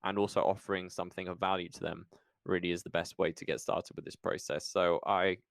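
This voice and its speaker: A man's voice: sits low, little pitch movement, brisk, vocal fry, a bit bright, dry recording, clean studio sound. A man hosting a podcast interview in a casual, conversational style.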